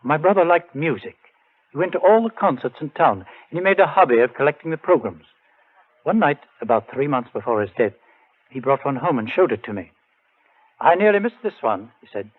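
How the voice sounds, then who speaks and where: An actor playing a troubled, reserved bereaved brother in a 1940s radio drama, speaking in a measured, formal, understated way. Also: male voice, a little high, animated. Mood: recollecting, puzzled. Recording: narrow, tinny 1940s radio broadcast.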